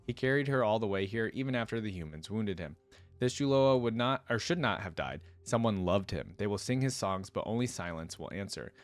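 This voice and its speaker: A man's voice: lowish voice, no room sound. A man hosting a reaction video, reading a story aloud and breaking off for casual, reflective commentary. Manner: casual, stops to explain and reflect.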